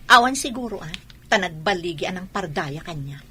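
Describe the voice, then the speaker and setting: A woman's voice: lowish voice, animated, a little fast, pushed and bright, slight background noise. A woman playing a patient, wounded wife and mother in a radio drama, speaking earnestly. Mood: hurt, resigned.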